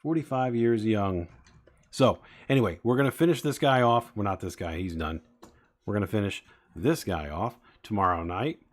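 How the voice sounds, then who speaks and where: Forties man, lowish voice, relaxed rambling streamer talking to his chat. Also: normal speed. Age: 40s. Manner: rambling, chatting to viewers with many fillers. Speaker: streamer building toy sets. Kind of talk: casual live-stream chat.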